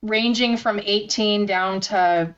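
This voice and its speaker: A woman guest chef talking in a loose, chatty way, piling on filler words as she tells her stories. Her pitch sits at an ordinary middle level. The recording sounds a little dull and lo-fi. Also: steady tone, takes it slowly, a bit bright. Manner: chatty and enthusiastic, many "like" fillers. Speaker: chef and baking instructor, interview guest. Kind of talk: casual conversational storytelling.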